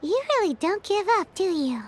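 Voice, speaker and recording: A woman's voice: very high-pitched, sing-song, moderate pace, slight background noise. An actress playing a tiny, blunt, childlike female companion in a video game, with exaggerated cutesy delivery. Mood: sardonic. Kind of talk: video game character acting.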